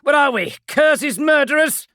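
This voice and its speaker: A man's voice: very high voice, expressive, a little slow, bright, forceful tone, no echo, studio quality, voice pushed up, full character voice. A man voicing a principled young space marine who argues with impassioned, pleading defiance. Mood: defiant.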